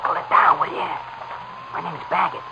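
An actor playing a sly, resentful fired truck driver turned informant in an old radio crime drama. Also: male voice, on the high side, fairly even intonation, normal speed, vocal fry, crowd noise. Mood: vengeful, eager. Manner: conspiratorial, slangy, insistent. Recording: noisy muffled old radio recording.

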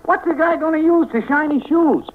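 A man playing a dopey, loud crackpot hotel guest in a 1940s American radio comedy, talking in a goofy, uneducated way. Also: extremely high pitch. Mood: loud complaint. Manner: slow, goofy, uses "me" for "my". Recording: tinny, noisy vintage radio recording.

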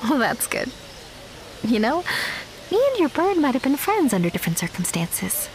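A woman performs a guarded young woman in an audio drama, wry and blunt but soft-hearted underneath. Her voice sits fairly high and moves around a lot in pitch, over clearly audible background ambience. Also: very animated, normal speed, slight background noise, poor audio. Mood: wry, warming. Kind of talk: naturalistic audio drama dialogue.

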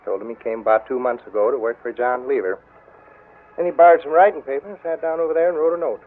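A man doing a fussy, nosy small-town hotel clerk, all eager politeness and halting "uh"s, in an old western radio play. The sound is thin and tinny, like a vintage broadcast. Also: medium pitch, fairly even intonation, lightly acted. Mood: fawning. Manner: eager to please, hesitant with "uh" fillers. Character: nosy, fawning male hotel desk clerk. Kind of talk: 1940s radio acting.

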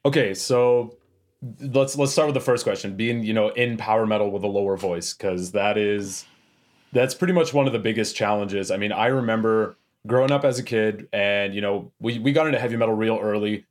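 A Canadian man in casual podcast chat, a deep-voiced baritone who tells stories with energy and acts out what people said. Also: a little fast. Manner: animated storyteller, acts out quotes. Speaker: guest, metal band frontman.

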